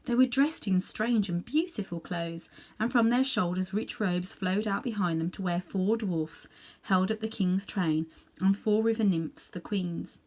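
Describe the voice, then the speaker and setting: A woman reading a children's book aloud in an animated, dramatic way, sometimes softening toward hushed tones. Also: mid-range voice, a little slow. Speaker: storybook reader. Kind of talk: expressive read-aloud narration for children.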